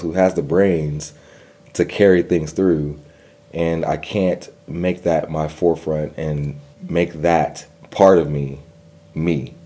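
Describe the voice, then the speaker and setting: A man's voice: low-pitched, mellow. A young man telling his personal story in an interview, earnest, with a stutter and repeated words. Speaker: guest.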